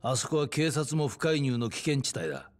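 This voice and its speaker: An actor playing a gruff, world-weary middle-aged detective in a video game cutscene, matter-of-fact in delivery. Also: male voice, medium pitch, very animated, normal speed, natural voice. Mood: serious, cautioning. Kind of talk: Japanese game cutscene acting.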